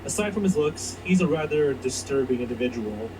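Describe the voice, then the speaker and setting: A man's voice: medium pitch, lively intonation, brisk. A man reading scripted narration for a countdown video, heard as played back. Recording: distant, noisy playback of a video.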